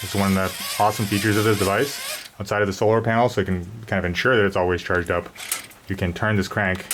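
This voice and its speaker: Guy with a lowish voice reviewing gear off the cuff in a garage, repeats himself, some background noise. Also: steady tone, fast. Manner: rambling, repeats words. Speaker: product reviewer. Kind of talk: casual unscripted product review. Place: garage during a thunderstorm. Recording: noisy garage with storm ambience.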